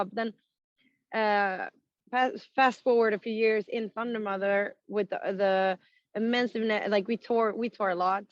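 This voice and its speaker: A woman from Sweden, a rock singer with a naturally raspy voice, now extra hoarse from touring, talking frankly in a casual interview with frequent laughs and restarts. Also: mid-range voice, dry recording, lo-fi sound. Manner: frank, laughs often, many fillers and restarts.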